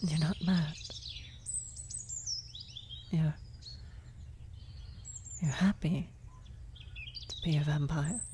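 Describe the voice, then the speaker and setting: A woman acts out a worried female vampire in a medieval fantasy ASMR roleplay. Her low voice is soft and mellow, often slipping into a whisper. She speaks haltingly and gently, with faint birdsong and nature ambience behind her. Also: steady tone, slight background noise. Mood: apologetic, tender. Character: worried female vampire blood sorcerer, tender, guilty lover. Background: birdsong, nature ambience effects.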